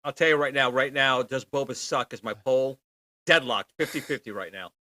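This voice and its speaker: A man's voice: medium pitch, moderate pace, sharp tone. A man co-hosting in casual banter, teasing and needling the people he talks with. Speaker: co-host.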